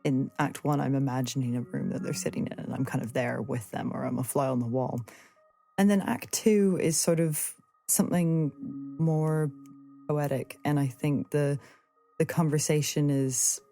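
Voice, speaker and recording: Very mellow, searching woman interviewer. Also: very deep voice, fairly even intonation, moderate pace, vocal fry, soft background music. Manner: hesitant, searching, reworks phrases aloud. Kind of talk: reflective arts conversation.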